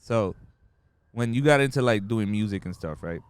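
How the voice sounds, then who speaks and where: A man's voice: fairly low pitch, animated, moderate pace, smooth, no room sound. A man hosting a podcast interview, joking around and talking in loose slang. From Dominican family. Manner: joking, slangy, laughs a lot. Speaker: podcast host. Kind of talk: casual interview chat.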